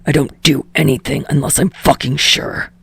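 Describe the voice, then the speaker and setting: A sweary, brooding young man, put on by a woman reader growls low with a heavy gravelly rasp. The voice is husky and breathy, often sinking near a whisper, and sounds close and dry. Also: fairly even intonation, moderate pace, dry recording, heavily acted. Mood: angry. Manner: growls and curses through clenched teeth. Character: gruff, brooding young male actor, sweary. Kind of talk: podfic reading.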